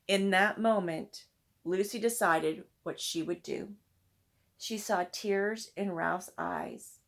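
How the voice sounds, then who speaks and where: A woman reading a children's storybook aloud in expressive narration. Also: medium pitch, slow, creaky. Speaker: storybook reader.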